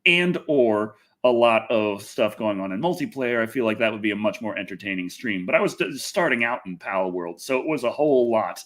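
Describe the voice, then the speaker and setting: A man hosts a casual livestream with a very lively voice that swings up and down. He rambles through gaming and movie news, breaking off his own sentences and picking them up again in an animated, chatty way. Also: lowish voice, a little fast, few pauses. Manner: rambling, animated, self-interrupting. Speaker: livestream host.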